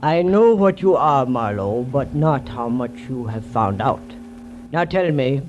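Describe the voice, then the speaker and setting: An actor playing a bloated, menacing little crime boss in a 1940s radio drama, with oily, formal, over-precise manners. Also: male voice, medium pitch, animated, measured pace, natural voice. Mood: coldly menacing. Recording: muffled vintage radio recording.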